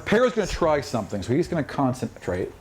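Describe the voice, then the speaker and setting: A man's voice: medium pitch, huge pitch swings, quick pace. A man working as game master, improvising narration of a tabletop fantasy game and acting out sound effects as he goes. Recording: noisy room recording.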